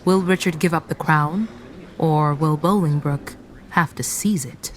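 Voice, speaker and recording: A woman's voice: sits low, unhurried, slight background noise. A woman narrating a radio play with scripted, dramatic scene-setting.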